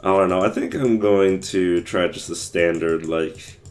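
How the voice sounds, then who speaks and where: A young man with a very low, hoarse voice that is wearing out. He rambles through his gameplay commentary with lots of fillers and restarts, talking to chat as he plays. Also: measured pace, a little mellow. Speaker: livestreamer playing a game.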